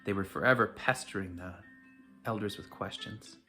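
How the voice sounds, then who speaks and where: A storyteller reading a bedtime folk tale aloud in an expressive, dramatic way. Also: sits low, moderate pace, soft background music. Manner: dramatic, animated delivery. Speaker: storyteller reading to a school community.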